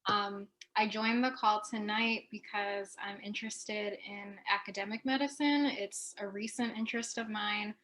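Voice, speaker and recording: A woman's voice: medium pitch, steady tone, normal speed, few pauses, a bit bright. A woman in her twenties, a medical student, introducing herself on a video call.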